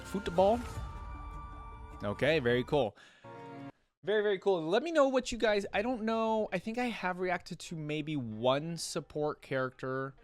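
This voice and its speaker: A man's voice: high-pitched, sing-song, unhurried, soft background music. A man hosting a reaction video, commenting casually, reading things out and talking directly to his viewers. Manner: conversational, addresses viewers directly. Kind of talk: casual commentary, reading on-screen text aloud.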